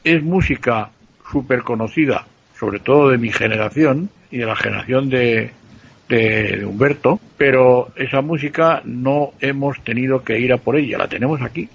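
Central Spanish radio co-host, male, gravelly and husky, teasing, dull lo-fi sound. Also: mid-range voice, normal speed, slight background noise. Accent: Spanish, central Spain. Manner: playful, teasing, explains at length. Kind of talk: casual radio chat.

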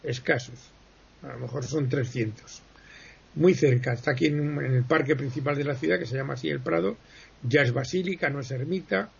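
A man recounts history in an unhurried radio chat, with a gravelly, husky voice pitched a little high for a man. He wanders between points, pausing and correcting himself. The sound is muffled and lo-fi. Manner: digressive, explanatory, many pauses and self-corrections. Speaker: history guest speaker. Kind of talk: conversational radio interview.

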